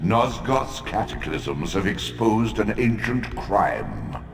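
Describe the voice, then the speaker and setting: An actor playing an ancient commanding deity who speaks slowly and portentously, echoing over game music. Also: male voice, sing-song, creaky, heavily acted. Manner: slow, booming and portentous.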